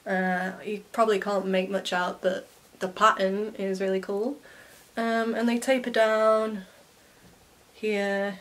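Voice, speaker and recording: A woman in her twenties sounding stuffed up and croaky from a cold, chatting casually through a vintage clothing haul with lots of ums and likes. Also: mid-range voice, flat delivery, slow. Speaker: vintage fashion vlogger. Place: bedroom lined with clothing racks, indoors.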